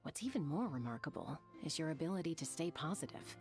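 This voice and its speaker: A woman's voice: sits low, expressive, fast talker, music in the background. A woman voicing a thoughtful, friendly young woman in an anime game. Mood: thoughtful, approving.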